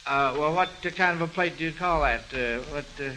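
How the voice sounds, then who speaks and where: A man's voice: a little high, a little fast, sharp tone. A man with an American accent hosting a 1940s radio quiz show in a folksy, avuncular, hesitant patter. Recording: noisy, hissy old radio broadcast recording.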